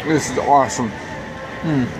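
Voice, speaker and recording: A man's voice: medium pitch, measured pace. A man in his thirties giving an enthusiastic, casual food review to camera, talking between bites. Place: outdoors at a theme park table. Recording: noisy outdoor recording with background hum.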